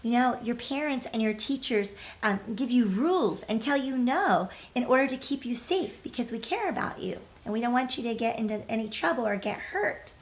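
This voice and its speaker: High-voiced woman reading to children. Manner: expressive, addresses children directly, emphatic drawn-out key words. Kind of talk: children's storybook read-aloud with questions to young listeners.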